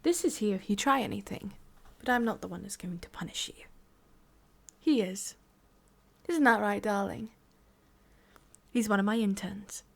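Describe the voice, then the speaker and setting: A woman's voice: mid-range voice, creaky, a little hiss or hum, natural voice. Whispering English demon boss woman, acted by a woman. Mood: coldly threatening. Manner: condescending, deliberate, slipping between soft voice and whisper. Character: sadistic female demon boss, condescending, menacing, controlled. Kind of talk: ASMR roleplay, soft speech slipping into whispers.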